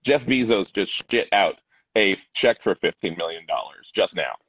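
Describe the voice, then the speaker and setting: A man's voice: low voice, animated, normal speed, a bit bright, slightly rough, no room sound, lo-fi sound. A man co-hosting a casual wrestling podcast, agreeable and hesitant, often trailing off and restarting. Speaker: podcast co-host.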